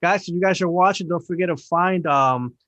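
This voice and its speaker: A forty-year-old man hosts a casual podcast, thanking his guest in loose, filler-heavy sentences. His voice sits a little high and sounds close and dry, with no room around it. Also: a little fast, fairly bright tone, no echo, lo-fi sound. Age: 40s. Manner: rambling, filler-heavy, upbeat. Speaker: podcast host. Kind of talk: casual video-call podcast chat.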